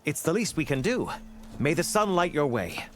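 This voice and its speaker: An actor playing a devout, grateful believer who speaks in formal blessings in a game. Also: male voice, fairly high pitch, big pitch swings, moderate pace, soft background music. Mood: reverent. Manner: formal, reverent. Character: devout male sun-worshipper, grateful and formal.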